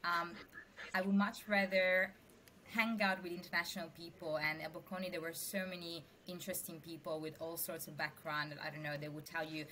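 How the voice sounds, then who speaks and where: A woman's voice: lowish voice, flat delivery, brisk, sharp tone, slight background noise, poor audio. A young adult woman from Sicily with an Italian accent, chatting animatedly as a guest in an interview. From Sicily, Italy. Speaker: venture capital investor, guest. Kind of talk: casual interview talk.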